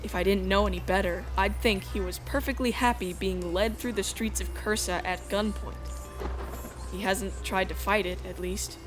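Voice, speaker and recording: A woman's voice: medium pitch, fairly even intonation, moderate pace, natural voice. Earnest female princess lead, woman acting, mid-range first-person narration for a visual novel dub, music bed. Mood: suspenseful narration. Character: earnest exiled princess heroine narrating her adventure. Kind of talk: scripted first-person narration with acted dialogue.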